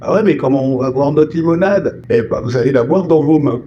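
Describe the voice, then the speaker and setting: A man's voice: mid-range voice, lively intonation, a little fast, lo-fi sound, a little hiss or hum. A man from France with family roots in Martinique, telling stories about voice dubbing in a casual interview, acting out the lines he quotes. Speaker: voice actor and singer, guest.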